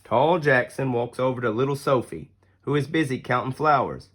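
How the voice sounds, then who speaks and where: A man reading a children's picture book aloud as an expressive, deliberate storyteller. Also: medium pitch. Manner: deliberate storytelling with acted-out dialogue. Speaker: story reader.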